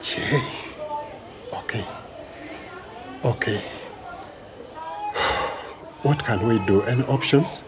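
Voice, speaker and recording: A man's voice: medium pitch, very slow, pauses often, background noise. A man with a Ugandan accent acting an anxious, emotive husband in a radio drama. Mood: grave, pleading. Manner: emotive, full of interjections. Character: anxious husband awaiting news of his sick wife.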